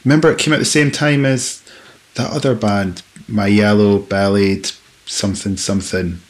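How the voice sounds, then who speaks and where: A man's voice: fairly low pitch, steady tone, moderate pace. A man chatting casually about music as a podcast co-host. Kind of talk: casual chat.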